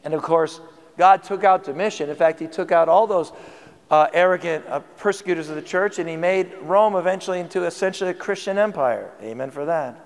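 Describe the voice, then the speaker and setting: A man's voice: on the high side, a little fast, long reverb tail. A man preaching a sermon in a conversational way, asking the congregation questions, his voice tired. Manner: conversational, asks the audience questions. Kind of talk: sermon teaching.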